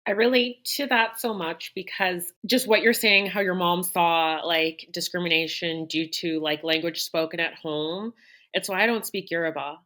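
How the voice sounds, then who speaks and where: Podcast co-host: woman, lowish voice, reflective, quick. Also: fairly even intonation, fairly bright tone. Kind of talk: casual podcast chat.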